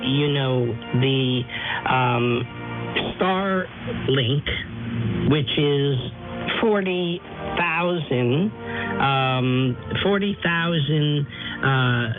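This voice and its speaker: A woman guest on a radio program, talking earnestly and haltingly, repeating words for emphasis. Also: rumbling low. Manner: halting delivery, emphatic repetition. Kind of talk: earnest interview talk. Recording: muffled shortwave radio, music bleeding through.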